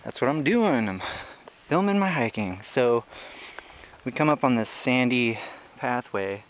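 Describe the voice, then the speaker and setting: A young man casually narrating a hiking vlog outdoors on a trail, explaining things in a relaxed, chatty way with many fillers, recorded on the handheld camera he films with. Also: mid-range voice, a little slow. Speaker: ant-keeping channel host. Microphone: handheld camera he is filming with.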